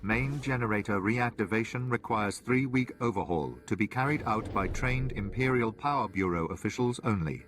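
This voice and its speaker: A man's voice: on the low side, moderate pace, hardly any pauses, noisy background, lightly acted. Impersonal official alarm announcer played by a man. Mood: impersonal warning. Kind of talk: scripted public-address announcement in a video game.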